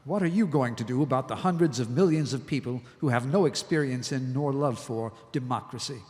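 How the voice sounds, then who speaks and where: A man's voice: medium pitch, darkish tone, lightly acted. Man acting a grave colonel in echoey hall. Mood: grave, urgent. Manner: deliberate, argumentative military speech. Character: grave, forceful, argumentative army colonel in wartime. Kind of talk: live audio drama acting. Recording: live stage recording in echoey hall.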